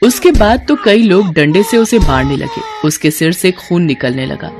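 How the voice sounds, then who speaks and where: A woman's voice: on the low side, lively intonation, moderate pace. A woman reading scripted narration as the storyteller of a cartoon moral tale.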